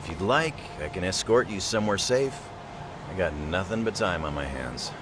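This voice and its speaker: Gruff, deep-voiced streetwise tough guy. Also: lively intonation, normal speed, background noise. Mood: casual, grumbling. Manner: blunt, slangy, swings into loud astonishment. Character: gruff tough streetwise man, quick-tempered yet chivalrous. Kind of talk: English dub of a crime drama game.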